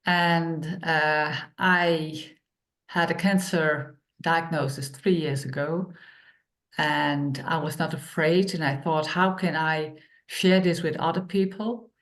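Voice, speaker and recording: A woman, co-hosting an online workshop and telling stories in plain, unhurried phrases with many pauses. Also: deep voice, fairly even intonation. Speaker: workshop co-host and author.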